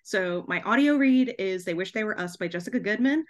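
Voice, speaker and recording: A woman's voice: mid-range voice, lively intonation, brisk. A woman chatting casually as a podcast guest, bubbly and effusive in the way she talks. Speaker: podcast guest, debut author. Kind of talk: casual chat.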